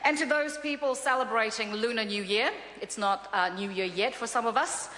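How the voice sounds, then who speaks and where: Bright-voiced Korean-born woman in a chamber. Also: fairly high pitch, moderate pace. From South Korea. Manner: upbeat, frequent uh fillers. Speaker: member of parliament. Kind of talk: parliamentary speech. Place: large parliamentary chamber. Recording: echoey chamber with long reverb.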